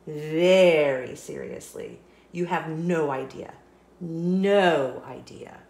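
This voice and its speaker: A woman's voice: sits low, slow, creaky, darkish tone, roomy sound, pitched below the performer's own voice, heavily acted. A woman voicing a grumpy, anxious, scheming male sunflower seed in a children's storybook, with dramatic, exclamatory delivery. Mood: grumpy, exasperated. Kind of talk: children's storybook read-aloud.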